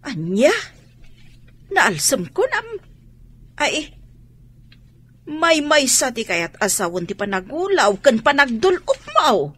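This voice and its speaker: A woman's voice: sits high, big pitch swings, slow pace, pauses often, a bit bright, breathy edge, full character voice. An actress playing an inquisitive, talkative mother of grown children in a radio drama, with an Ilocano accent. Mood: concerned, probing.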